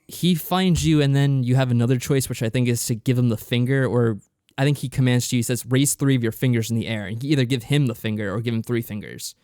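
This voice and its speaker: A man's voice: mid-range voice, fast talker, darkish tone, dry recording. Man chatting casually, close dry sound. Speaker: podcast co-host reviewing visual novels. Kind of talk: casual review chat.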